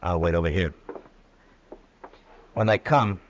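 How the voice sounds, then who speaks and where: An actor playing a steady, terse American frontier lawman, grimly weary, in 1950s radio drama style. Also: low-pitched, unhurried. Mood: calm, watchful. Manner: terse, commanding. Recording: noisy old radio recording.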